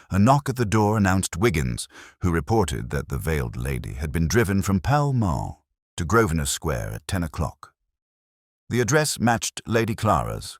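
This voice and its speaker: A man narrating a detective story in a measured, literary manner, as for an audiobook. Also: sits low, no room sound, studio quality.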